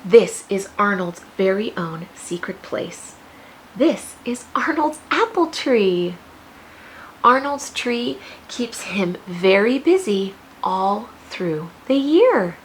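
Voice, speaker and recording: An American woman teacher reading a picture book aloud to young children, expressive, with questions and teaching asides. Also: medium pitch, slow pace, slight background noise, low-quality recording.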